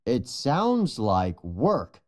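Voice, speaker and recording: Narrator doing a wry lazy meerkat uncle, wisecracks, animated storybook voice. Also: mid-range voice, drawn-out words, full character voice. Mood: wry dread. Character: wry, work-shy male meerkat uncle.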